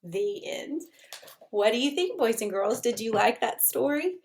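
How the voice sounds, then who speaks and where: A woman's voice: fairly high pitch, lively intonation, a little slow, darkish tone. A woman reading a children's storybook aloud expressively, addressing her young listeners as she goes.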